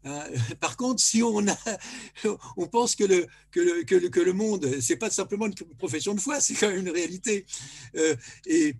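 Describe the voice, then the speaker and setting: High, husky male panelist, emphatic, repeats himself. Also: moderate pace, darkish tone. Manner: emphatic, repeats words, tags "hein". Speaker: colloquium speaker. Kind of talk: panel discussion remarks.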